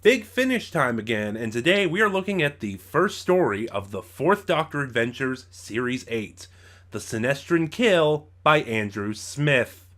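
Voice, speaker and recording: Male reviewer, informal hosting, conversational, halting delivery, frequent rephrasing, loosely scripted. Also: medium pitch, very animated, a bit bright. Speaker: reviewer and host of his own channel.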